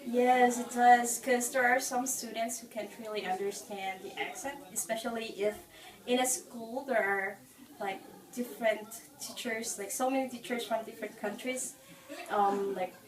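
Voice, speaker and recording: A woman from the Philippines answering in a lively way, with "like" as a filler. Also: a little high, normal speed, roomy sound, far from the mic, crowd noise, poor audio. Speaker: interviewee.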